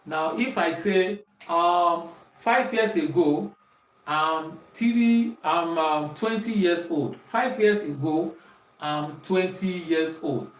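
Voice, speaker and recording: A man's voice: a little high, slow, far from the mic. A man with a Nigerian accent teaching a maths lesson online, repeating questions and reading out students' answers. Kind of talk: online maths lesson, working through examples. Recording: echoey room, voice off-mic, faint hiss.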